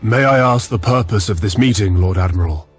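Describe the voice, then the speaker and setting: A man's voice: on the low side, a little fast, soft background music, natural voice. A man voicing a resolute, dutiful fleet admiral in a sci-fi game, speaking in an earnest, formal military manner. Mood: respectful, dutiful. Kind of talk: dramatic sci-fi game cutscene acting.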